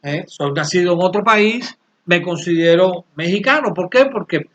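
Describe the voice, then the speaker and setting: An older man. He talks earnestly and unscripted, pausing and repeating words as he reaches for the next thought. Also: high-pitched, fairly bright tone, muffled recording. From Cuba. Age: 60s. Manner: halting, repeats words while searching, earnest. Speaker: channel creator, interviewee. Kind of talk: unscripted interview answers.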